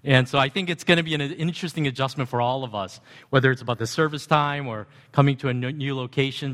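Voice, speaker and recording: A man with a mid-pitched voice speaks as a pastor to his congregation. His words carry long reverb from a large church sanctuary. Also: a bit bright. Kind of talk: addressing a congregation. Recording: long hall reverb, booming sound system.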